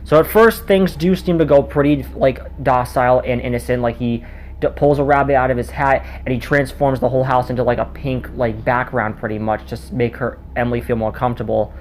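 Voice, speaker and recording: A man's voice: medium pitch, steady tone, a little fast. A man giving a casual spoken video review in a rambling way, often stumbling over his words. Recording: noticeable background noise.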